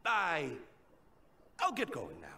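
A shy young prisoner in a game, with a high male voice that swings about nervously. He hesitates and stammers apologetically at a slow pace, with music behind him. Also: wildly swooping pitch, pushed and bright, a little hiss or hum, exaggerated voice. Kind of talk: video game voice acting.

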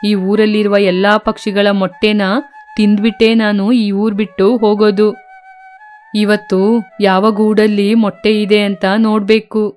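A woman voicing a cruel, greedy snake in a children's cartoon, speaking with slow, self-satisfied menace. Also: mid-range voice, little pitch movement, darkish tone, soft background music, close to an everyday voice. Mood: sly menace. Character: cruel, greedy egg-stealing snake, slyly scheming.

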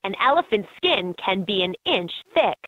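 A woman's voice: medium pitch, big pitch swings, moderate pace, no room sound. A woman voicing an upbeat guide in a children's educational animal game, in scripted narration with slightly dull game audio.

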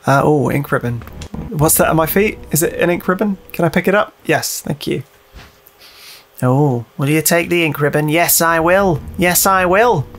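A man's voice: on the high side, moderate pace, a bit bright. A man giving casual, muttered, sweary commentary as he plays a game. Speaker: let's play host playing the game.

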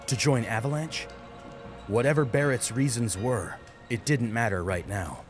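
A man's voice: medium pitch, unhurried. A man narrating a scripted story dramatically as an audiobook reader, with music behind him.

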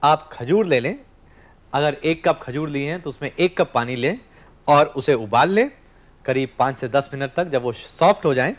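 Man in his thirties, TV chef, slightly high voice, step-by-step cooking demo, studio kitchen, lapel mic. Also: expressive, moderate pace. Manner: explains step by step while cooking, directly to camera. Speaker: TV cooking show host. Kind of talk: scripted cooking demonstration.

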